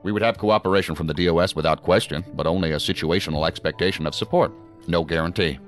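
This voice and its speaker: A senior federal director played by a male actor in a futuristic radio play. His voice is exceptionally deep, and he talks with measured, formal authority. Light music sits underneath. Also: lightly acted. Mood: commanding, businesslike. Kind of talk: audio drama acting.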